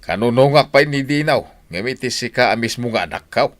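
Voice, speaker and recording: A man's voice: medium pitch, lively intonation, normal speed, close to an everyday voice. A man with an Ilocano accent, acting in a radio drama as an angry husband who later scolds as a stern father. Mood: scolding. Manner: loud, scolding, mocking laughs.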